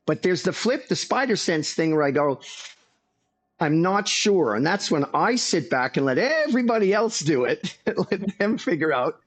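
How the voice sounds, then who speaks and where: Higher-voiced man, a salon stylist. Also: lively intonation, moderate pace. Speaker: master stylist, salon owner. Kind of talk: casual interview talk.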